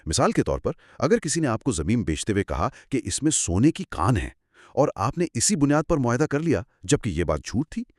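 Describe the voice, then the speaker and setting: A man co-hosting a scripted explanatory discussion, speaking in a measured, emphatic, didactic way. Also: medium pitch, big pitch swings, no echo, studio quality. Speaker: co-host explaining law.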